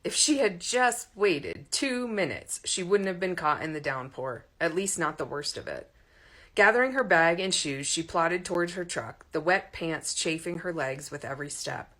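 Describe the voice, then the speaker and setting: A woman reading a romantic comedy story aloud in an expressive audiobook narration style. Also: on the low side, moderate pace.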